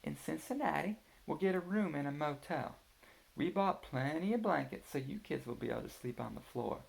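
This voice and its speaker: A man voicing a practical, organized mother who calmly lays out a family road trip. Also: on the high side, normal speed, creaky, voice pushed up, low-quality recording. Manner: steady, matter-of-fact planning tone.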